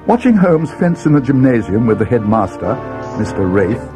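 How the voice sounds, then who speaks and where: Man, acting as mystery storyteller, schoolboy companion recounting adventures, animated pitch, music behind, scripted read-along. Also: normal speed, lightly acted. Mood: measured, grave. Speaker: narrator.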